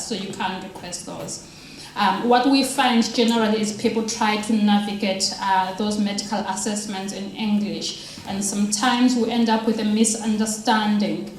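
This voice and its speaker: A woman's voice: mid-range voice, a little hiss or hum. A woman from Zimbabwe, giving a chatty community presentation and joking with the audience. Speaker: ambulance service equality lead presenting. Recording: echoey hall, voice from far off.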